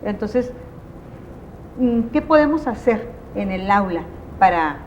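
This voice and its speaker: A woman's voice: medium pitch, lively intonation, slow, muffled recording, a little hiss or hum. A woman with a Mexican Spanish accent hosting an educational TV interview, asking long leading questions in a conversational way. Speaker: TV show host.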